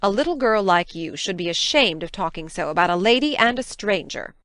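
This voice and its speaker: A woman playing a stern, practical middle-aged spinster farm woman in an audiobook drama, crisp and scolding in manner. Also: mid-range voice, expressive, fairly quick, fairly bright tone, dry recording, studio quality, close to an everyday voice. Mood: cross, severe.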